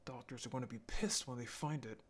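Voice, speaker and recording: Man voicing a young male zombie, medium pitch, halting, stammering, apologetic, gentle, intimate audio drama. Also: slight background noise, lightly acted. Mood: worried, earnest. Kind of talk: intimate audio roleplay acting.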